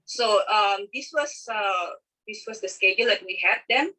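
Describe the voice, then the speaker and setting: A woman from Indonesia presenting as a teacher in an informal, halting way, often repeating herself. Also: mid-range voice, bright, forceful tone. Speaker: teacher presenting a class schedule. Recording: distant microphone on online call.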